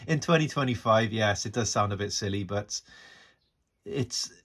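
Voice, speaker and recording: A man's voice: lowish voice, normal speed, fairly bright tone. A middle-aged man giving a casual, unscripted and enthusiastic tutorial, talking through each step as he works, recorded on a phone. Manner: enthusiastic, informal, narrating as he works. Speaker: amateur radio tutorial presenter. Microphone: phone camera microphone. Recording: noisy amateur phone video recording.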